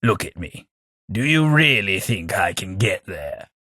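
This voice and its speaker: A man voicing a posh, sardonic British gentleman companion in a game, with a British accent. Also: low voice, very animated, a little slow, no echo, exaggerated voice. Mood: combative. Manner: dry, wry, posh and sardonic. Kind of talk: game voice lines.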